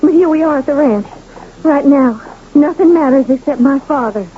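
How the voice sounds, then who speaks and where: Radio drama role: earnest young ranch girl , played by a woman, high voice, thin phone-like sound. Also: normal speed, lightly acted. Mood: subdued, resigned. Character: earnest, dutiful young ranch daughter of the West. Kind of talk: 1980 radio drama acting. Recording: thin phone-like, noisy vintage radio broadcast.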